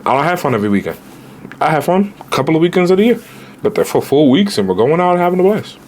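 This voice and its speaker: A man in his late twenties, a travel vlogger giving casual, animated budget travel advice straight to viewers, with slang. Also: a little high, fast, slight background noise. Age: 20s.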